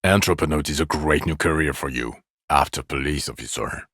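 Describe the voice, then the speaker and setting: An actor playing a bitter, exasperated police detective in a video game, sarcastic, scornful and quick to swear at his drunk partner. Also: extremely low pitch, fairly bright tone, dry recording, studio quality.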